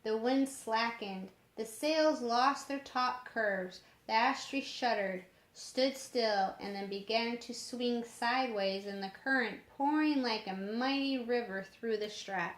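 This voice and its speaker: Slow-reading woman storyteller in an echoey room. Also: fairly high pitch. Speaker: storyteller reading a book aloud. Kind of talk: storybook narration. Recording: roomy echoing home recording.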